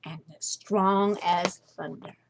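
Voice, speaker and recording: A woman reading a children's story aloud as storyteller, expressive and dramatic with deliberate phrasing. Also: lowish voice, gravelly, noisy background.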